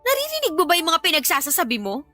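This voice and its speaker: A defiant young Filipina mother in a radio drama. Her voice is high and very animated, full of sarcasm and scolding. Faint music plays underneath. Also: fast talker, heavily acted. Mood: exasperated scolding.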